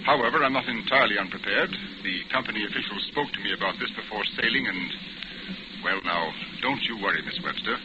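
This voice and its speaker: An actor playing a courteous, reassuring senior ship's officer in a 1940s radio drama, measured and formal. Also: male voice, fairly low pitch, natural voice. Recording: muffled, very noisy old radio recording.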